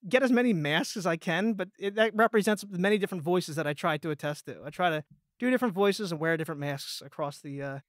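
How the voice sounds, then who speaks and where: A man's voice: sits high, fast, no room sound, clean studio sound. A man telling stories in a casual podcast chat, peppering them with "like" and quoted reactions. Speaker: voice actor, podcast guest.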